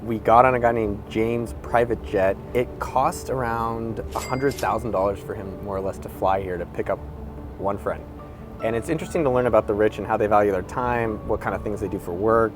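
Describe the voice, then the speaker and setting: A man's voice: lowish voice, brisk, over music. A man hosting a vlog, chatting and asking questions in an informal, slangy way. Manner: informal, slangy, bro-ish. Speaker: host interviewer. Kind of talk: casual vlog chat.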